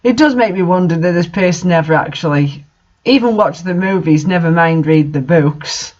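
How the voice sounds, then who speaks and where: An amateur reader delivers fan fiction and casual commentary on it. The manner is incredulous and sarcastic, with some swearing. The voice sits at an in-between pitch, on a dull, lo-fi recording. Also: moderate pace, a little mellow.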